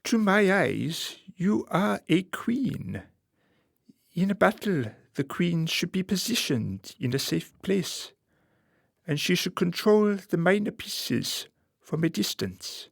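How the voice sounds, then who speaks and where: A man acting a suave, calculating political fixer in a radio play, speaking formally and deliberately with quiet menace. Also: sits high, big pitch swings, vocal fry, no echo, heavily acted. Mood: controlling, sly. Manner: formal, measured, deliberate phrasing.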